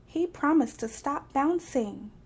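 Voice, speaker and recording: A woman's voice: high voice, animated, slow, darkish tone, voice pushed up. A woman voicing a fussy, anxious, bossy male rabbit in a children's storybook, emphatic and insistent. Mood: stern.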